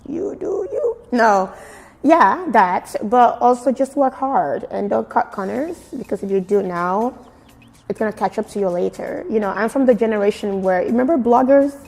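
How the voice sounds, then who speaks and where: A woman from Nigeria with a Nigerian accent mixed with British and American, giving expressive, emphatic answers in a casual interview. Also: fairly high pitch, normal speed, gravelly. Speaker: fashion blogger being interviewed. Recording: very echoey room with music. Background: music.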